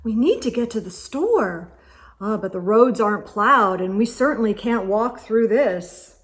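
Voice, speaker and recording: A woman reading a picture book aloud to children, expressively, with gasps and hushed asides. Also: a little high, animated, measured pace. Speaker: children's read-aloud storyteller.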